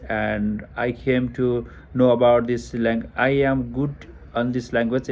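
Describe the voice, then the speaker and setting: Hesitant Nepali-accented young man, flat voice. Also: mid-range voice, a little hiss or hum. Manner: hesitant, many fillers and restarts. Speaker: test taker. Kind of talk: spoken exam practice answers. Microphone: headphone mic over Bluetooth to phone.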